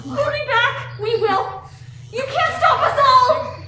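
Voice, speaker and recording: A woman's voice: very high-pitched, lively intonation, takes it slowly, very noisy, full character voice. A teenage girl playing a desperate, frightened spaceship crew member with urgent emotion in a radio play. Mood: alarmed. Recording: echoey room, loud music behind.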